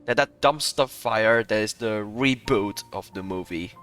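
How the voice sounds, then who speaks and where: A man giving casual, rambling game commentary, halting and muttering. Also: lowish voice, fairly bright tone, soft background music. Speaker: streamer playing a game.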